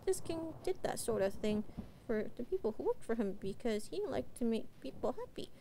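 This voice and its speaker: Woman as a high-pitched, creaky-edged catgirl storyteller. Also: sing-song, warm tone, slight background noise. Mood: storytelling. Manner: halting, informal. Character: cute young female catgirl storyteller, playful and chatty. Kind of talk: bedtime storytelling.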